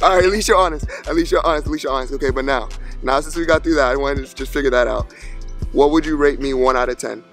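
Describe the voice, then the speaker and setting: A man's voice: medium pitch, quick pace, fairly bright tone, faint music behind. A young American man in his twenties, casually chatting and joking with strangers on a video call app. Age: 20s. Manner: playful, teasing, laughs often.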